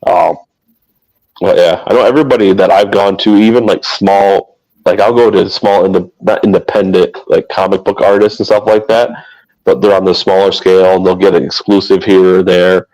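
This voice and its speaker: Deep, flat-voiced man chatting. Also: moderate pace, poor audio. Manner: rambling, self-correcting, flat delivery. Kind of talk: casual chat.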